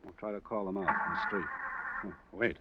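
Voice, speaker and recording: An American actor playing a hard, commanding police lieutenant in a 1950s radio drama, brusque and clipped. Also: male voice, deep voice, a little fast, close to an everyday voice. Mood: tense. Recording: muffled, very noisy old radio recording.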